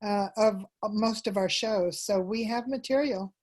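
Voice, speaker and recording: A woman's voice: mid-range voice, darkish tone. A woman answering questions as a theater group's presenter, in an informal, casual interview style. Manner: unhurried, informal.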